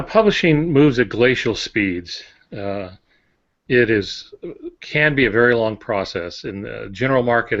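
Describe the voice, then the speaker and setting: Male author-host, somewhat low pitch, measured and matter-of-fact advice, conversational. Lo-fi, muffled recording. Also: fairly bright tone. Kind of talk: explanatory casual talk. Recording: dull lo-fi audio.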